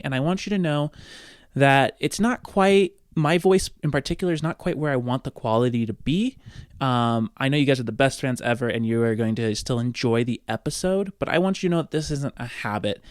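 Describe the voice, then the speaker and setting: A man, chatty and informal as he hosts and narrates a tabletop role-playing podcast. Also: mid-range voice. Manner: chatty, informal, addressing listeners directly. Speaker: host and game master. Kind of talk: casual podcast talk and tabletop game narration.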